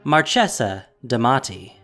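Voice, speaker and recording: A man playing a formal herald who announces grand titles in a fantasy audio drama, ceremonious and deliberate. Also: medium pitch, very animated, sharp tone, full character voice. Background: background music.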